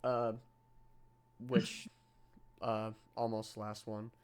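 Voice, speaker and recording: A man's voice: on the low side, level tone, very slow, smooth. A young man hosting a call interview, asking long, rambling questions with restarts. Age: young adult. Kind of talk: casual interview over a call.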